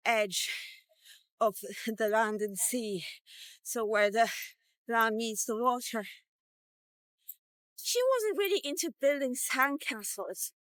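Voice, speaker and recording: A woman in her fifties telling a personal tale informally, halting and thinking aloud with drawn-out pauses as she goes. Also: fairly high pitch, fairly bright tone, clean tone, no room sound. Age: 50s. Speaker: vlogger. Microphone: walkie-talkie vlog, recorded on the go.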